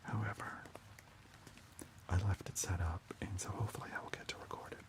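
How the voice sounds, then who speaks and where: A man hosting an ASMR livestream, speaking in a breathy, hushed whisper with deliberate delivery. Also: deep voice, steady tone.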